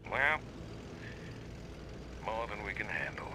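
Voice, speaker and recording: A man's voice: on the low side, animated, takes it slowly, noisy background. A man playing a belligerent, pompous older general, barking condescendingly in a video game. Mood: gruff. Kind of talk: video game cutscene acting. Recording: music behind the voice.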